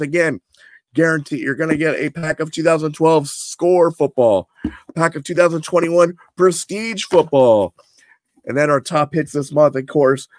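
A hoarse man in his forties, fighting off a cold, hypes up his picks to subscribers with a salesman's eagerness. His voice sits at a middling pitch and comes through dry and close, recorded on a desk webcam in a home office. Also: no echo. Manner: enthusiastic salesman, showing cards to camera. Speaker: card subscription box host. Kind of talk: product preview for subscribers. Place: home office room with shelves.

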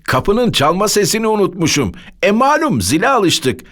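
A woman voicing a forgetful, grumbling grown-up in a children's cartoon. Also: mid-range voice.

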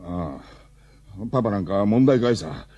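A man playing an excitable, defensive, hapless middle-aged father in a Japanese radio drama. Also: lowish voice, measured pace, highs cut off. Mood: resigned, bitter.

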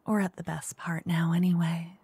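A woman doing a soft-spoken ASMR roleplay as a flirty dungeon master. She teases, then whispers close into each ear in turn. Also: fairly low pitch, flat delivery, moderate pace, husky, dry recording. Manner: teasing, flirty, switching between whispers and soft speech. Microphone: close binaural mic.

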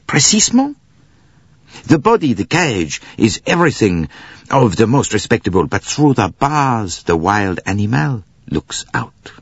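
Audiobook detective, played by a male reader: raised pitch, thoughtful and precise, lingering over phrases, slightly dull sound. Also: very animated, slightly rough, no room sound, full character voice. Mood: grave, musing. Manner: deliberate, musing, drawn-out phrases. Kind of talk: audiobook character voice.

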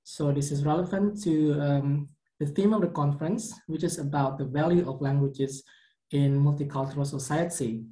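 A young man whose first language is Indonesian, a PhD candidate giving a measured conference presentation with occasional fillers. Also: on the high side, warm tone, reverberant, rough recording. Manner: careful, measured academic delivery with some fillers. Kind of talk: recorded conference presentation over slides.

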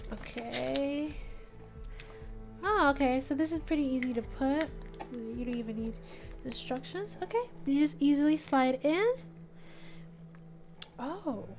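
A young woman with a soft voice, chatting casually through a product review in a small home studio, gasping and laughing often. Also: sits high. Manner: bubbly, chatty, frequent gasps and laughs. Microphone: studio mic on boom arm.

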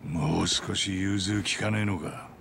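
Gravelly, grumbling tough guy. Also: deep voice, measured pace, a bit bright, a little hiss or hum, heavily acted. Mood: irritated. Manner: gruff, blunt, complaining. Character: grumbling, seasoned, blunt male tough guy. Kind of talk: dramatic video game voice acting.

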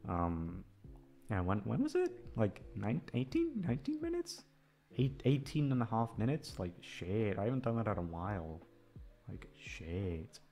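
A man's voice: on the low side, huge pitch swings, unhurried, dark tone, faint music behind. A man rambling in casual chat to his listeners, hesitant, repetitive, sweary and self-deprecating. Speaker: audio creator addressing listeners.

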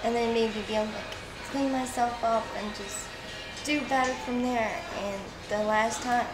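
A woman's voice: fairly high pitch, steady tone, normal speed. A woman in her twenties telling her own story candidly in an interview, rambling and emotional, swearing freely. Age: 20s. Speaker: street interview guest. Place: indoors in a fast-food restaurant seating area. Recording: echoey indoor space with music playing.

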